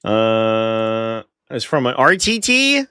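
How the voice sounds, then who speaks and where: A man's voice: on the low side, wildly swooping pitch, takes it slowly, pushed and bright, clear, resonant tone, no echo. A man with a stuffy nose from a flu, hosting a live stream in casual chat, talking straight to his viewers with dry sarcastic asides.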